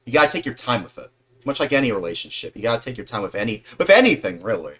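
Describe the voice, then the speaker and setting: A man's voice: mid-range voice, sing-song, racing, fairly bright tone, breathy edge. A man in his mid-twenties chatting casually as a livestream host, rambling earnestly and often repeating and rephrasing himself.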